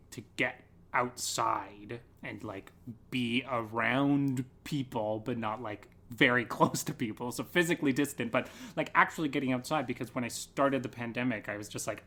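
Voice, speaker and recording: Male podcast guest, theatre creative. Bright, forward tone, enthusiastic and playful, conversational delivery. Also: mid-range voice. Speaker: guest, theatre artist and choreographer. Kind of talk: casual podcast interview chat.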